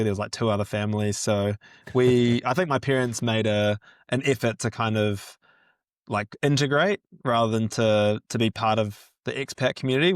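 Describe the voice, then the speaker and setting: A man's voice: medium pitch, no echo, clean studio sound. A man with a New Zealand accent, a podcast guest chatting casually and easily about his work. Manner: relaxed, easygoing, with fillers and restarts. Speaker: guest, business owner. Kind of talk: casual interview chat.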